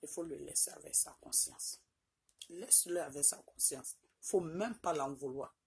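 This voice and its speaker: A woman's voice: sits low, moderate pace, vocal fry, a little mellow, a little hiss or hum. A middle-aged woman from Côte d'Ivoire with an Ivorian French accent, talking straight into her phone camera from a small room, insisting on her points and checking in with "ok?" again and again. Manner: insistent, repeats points with "Ok?" tags. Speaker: vlogger. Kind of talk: direct-to-camera personal address. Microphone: phone camera at arm's length.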